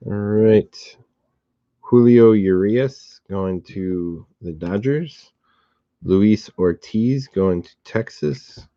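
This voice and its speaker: A slightly hoarse man hosting a card box break, calmly listing each card and who it goes to. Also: on the low side, no echo, highs cut off. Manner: steady, unhurried listing of cards and recipients. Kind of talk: relaxed live box-break commentary.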